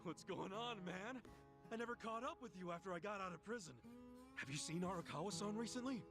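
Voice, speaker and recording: An actor voicing a loyal, earnest, good-hearted ex-convict gangster in video game dialogue, speaking informally and sincerely. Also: male voice, high-pitched, brisk. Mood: excited. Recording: music playing behind the voice.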